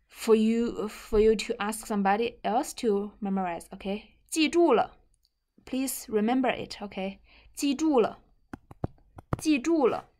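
A young woman with a Chinese accent leads an online Mandarin vocabulary lesson. Her voice sits fairly high and she speaks extremely slowly. She repeats words and short phrases deliberately, giving them clear emphasis. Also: darkish tone. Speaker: Mandarin language teacher. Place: indoors, seated at a computer.

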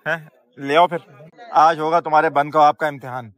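Excited Pakistani man touring a buffet. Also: on the high side, brisk. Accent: Pakistani Urdu. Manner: excited, exclamatory, chatty with staff. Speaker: food vlogger. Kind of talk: casual food vlog chat. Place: outdoor restaurant at dusk.

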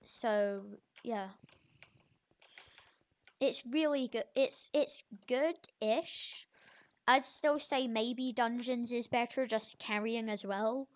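A young video creator with an informal, halting, self-correcting way of talking, giving a casual game tutorial. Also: medium pitch. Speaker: gaming video creator, host.

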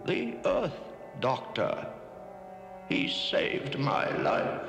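A man playing a solemn, grieving alien survivor in a cartoon, grave, halting and dramatic. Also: mid-range voice, huge pitch swings, full character voice. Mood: solemn, insistent. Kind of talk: 1970s TV cartoon acting. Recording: cavernous reverb, loud cartoon music.

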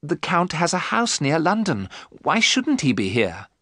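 A man's voice: fairly high pitch, huge pitch swings, a little slow, sharp tone, no room sound, studio quality, pitched above the performer's own voice, full character voice. A man voicing a devoted, anxious young wife in a gothic story, earnest and pleading. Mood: reassuring.

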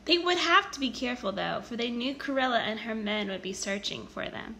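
A woman reading a children's story aloud in an expressive, animated storytelling manner with emphatic stresses. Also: a little high, fairly quick, gravelly, slight background noise. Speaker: storybook reader.

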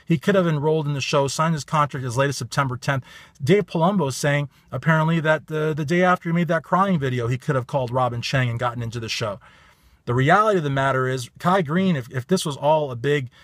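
A man in his thirties with a raspy voice, giving a blunt, emphatic bodybuilding opinion vlog from a parked car on a phone held at arm's length. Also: fairly high pitch, fast talker, a bit bright, no echo, studio quality. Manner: blunt, emphatic, repeats stock phrases. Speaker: bodybuilding commentator.

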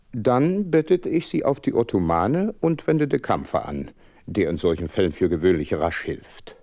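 An actor playing a gentlemanly, sentimental doctor who narrates his own story in literary style in a radio drama. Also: sits low, vocal fry, no room sound, heavily acted.

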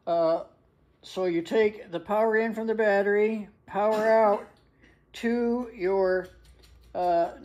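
A woman's voice: mid-range voice, dark tone. An older woman giving a casual hands-on tutorial in a plain-spoken, practical way. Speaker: hobbyist demonstrator. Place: indoors at a wooden workbench.

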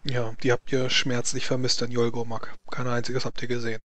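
A man narrating a tabletop role-playing game as game master, in a halting, deliberate manner. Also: medium pitch, monotone, fairly bright tone.

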